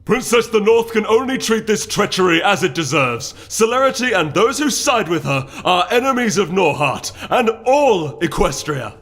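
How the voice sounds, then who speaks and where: Hot-tempered storybook duke, acted by a man, scornful and bitter, snarling loud accusations at a raised pitch. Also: big pitch swings, normal speed, hardly any pauses, full character voice. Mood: accusing outrage.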